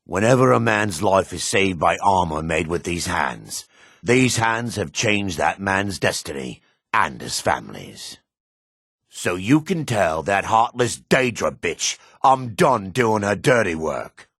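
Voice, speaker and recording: A weathered dark elf fighter, with an extremely deep voice full of gravel. He is grim and measured, with menace in a lively, moving intonation. It is fantasy game dialogue, recorded dry and close. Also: no echo, exaggerated voice. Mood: defiant. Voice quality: gravelly, husky. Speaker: video game voice actor. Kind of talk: fantasy game character lines.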